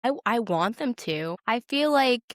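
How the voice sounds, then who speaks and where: A woman's voice: on the high side, animated, measured pace, dry recording, studio quality. A woman co-hosting a podcast, chatting casually and informally about television, often dropping in "like". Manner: informal, peppered with "like". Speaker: podcast co-host. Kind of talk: casual chat about a TV show.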